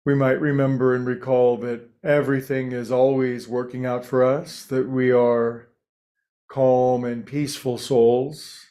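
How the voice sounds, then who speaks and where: A man leading a guided meditation as a teacher, speaking in a gentle, soothing way with frequent pauses. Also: medium pitch, little pitch movement.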